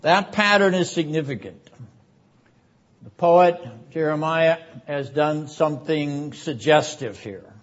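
Slow, deliberate male lecturer, dull recording. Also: on the high side. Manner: dramatic, deliberate, emphatic, with rhetorical repetition; frequent throat-clearing. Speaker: seminary academic dean and lecturer. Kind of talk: theology lecture.

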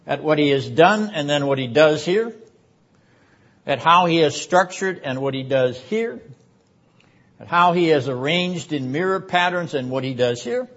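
Slow, deliberate male lecturer, dull recording. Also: fairly high pitch, animated, a bit bright. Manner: dramatic, deliberate, emphatic, with rhetorical repetition; frequent throat-clearing. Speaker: seminary academic dean and lecturer. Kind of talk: theology lecture.

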